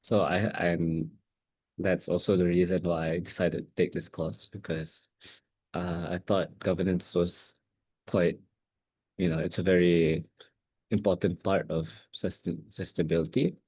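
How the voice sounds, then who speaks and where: A man's voice: low-pitched, little pitch movement, unhurried, darkish tone, husky, no echo. A young man, introducing himself to his class in a hesitant, apologetic way. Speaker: master's student. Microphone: video call.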